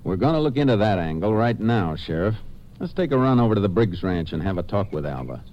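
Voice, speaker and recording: An actor playing a laconic, methodical Texas Ranger in 1950s radio drama, speaking in a matter-of-fact, firm, clipped way. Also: male voice, on the low side, very animated, brisk. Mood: businesslike. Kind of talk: 1950s radio drama acting, dialogue and narration. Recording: dull, noisy 1950s radio recording.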